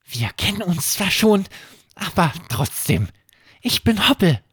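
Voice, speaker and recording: A small plush rabbit come to life, acted by a man for a children's bedtime story. The voice is husky and recorded very dry. The rabbit chatters in a grumbly, casual way, matter-of-fact and unfazed. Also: high voice, big pitch swings, measured pace, no echo, pitched above the performer's own voice, exaggerated voice. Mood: casual, friendly.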